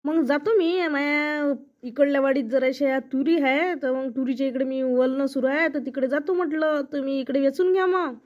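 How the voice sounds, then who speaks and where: Cartoon village farmer man, voiced by a woman: high pitch, Varhadi Marathi accent, folksy grumbler, slightly lo-fi recording. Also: steady tone, moderate pace, a little mellow, close to an everyday voice. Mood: businesslike. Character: worried, talkative village farmer man with fields to pick. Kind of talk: comic cartoon dialogue.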